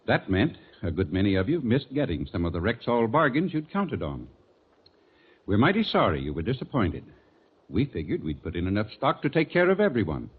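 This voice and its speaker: Husky, earnest radio druggist. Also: male voice, medium pitch. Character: earnest, apologetic family druggist. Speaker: sponsor's spokesman. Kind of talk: scripted 1940s radio advertisement. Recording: tinny 1940s radio recording.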